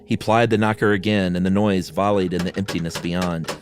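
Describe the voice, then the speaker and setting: A man's voice: deep voice, steady tone, brisk, background noise. A man co-hosting a podcast, moving between casual banter and dramatic storytelling. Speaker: podcast co-host and story narrator.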